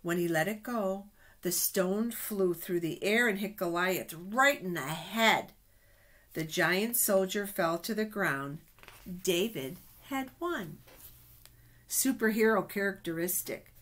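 Middle-aged woman, children's Bible storyteller, unhurried pace, expressive emphasis. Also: medium pitch. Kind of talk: reading Bible stories aloud to children. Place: small office indoors. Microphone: webcam at desk distance.

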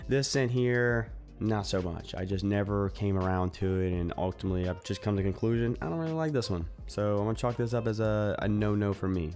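A man's voice: on the low side, darkish tone. Chatty young man reviewing soap. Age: young adult. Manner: chatty, informal, many fillers and asides. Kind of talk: casual product review to camera. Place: small indoor room. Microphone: desk microphone in front.

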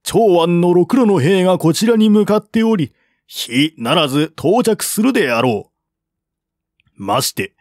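A man's voice: high-pitched, expressive, a little slow, a little mellow, no echo, studio quality, voice pushed up. A man voicing a humble, earnest envoy in formal courtly speech for a historical audiobook. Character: deferential male envoy, courtly and earnestly persuasive. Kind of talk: audiobook reading of historical novel dialogue.

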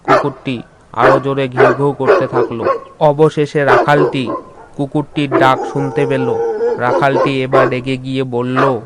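Even-toned male storyteller over loud noise. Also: mid-range voice, a little slow. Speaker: storyteller, narrator. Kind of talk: scripted children's story narration. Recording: loud background noise, animal sounds.

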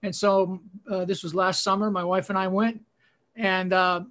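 A man in his sixties doing a rambling, self-deprecating stand-up routine over a webcam video call, often halting with fillers. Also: sits high, little pitch movement, a bit bright. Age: 60s. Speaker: stand-up comedian.

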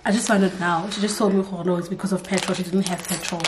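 A woman's voice: fairly low pitch, fairly even intonation, quick pace, background noise. A young woman, chatting to the camera at home and telling a story. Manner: chatty storytelling, switching into Setswana phrases. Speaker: vlogger. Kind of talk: casual chat to camera. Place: home kitchen and living room indoors. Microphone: camera on tripod nearby.

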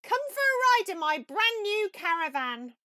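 A woman voicing a boastful, reckless gentleman toad from a children's storybook, exclaiming theatrically. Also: very high voice, very animated, unhurried, no room sound, studio quality, pitched above the performer's own voice, heavily acted. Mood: excited, breezy.

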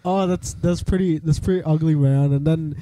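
Dark-voiced man in casual chat. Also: fairly high pitch, steady tone, moderate pace, no echo, low-quality recording. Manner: laughs often, restarts and repeats words. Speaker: podcast co-host.

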